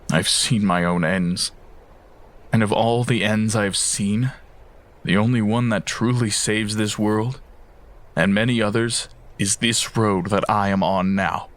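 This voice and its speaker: A man playing a weary, sorrowful immortal mystic in an audio drama, speaking in a solemn, measured way. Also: fairly low pitch, steady tone. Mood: grieving, resolute. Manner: slow, solemn, measured, sighing. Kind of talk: fan audio drama acting.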